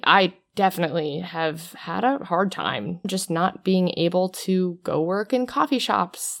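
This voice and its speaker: A woman hosting a conversational interview, upbeat and encouraging toward her guests. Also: on the low side. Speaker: host.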